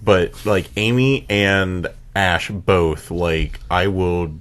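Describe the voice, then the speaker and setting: A man co-hosting a podcast, chatting casually in a halting, filler-heavy way. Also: low voice, steady tone, a bit bright. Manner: halting, peppered with "like". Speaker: podcast co-host. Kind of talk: casual chat.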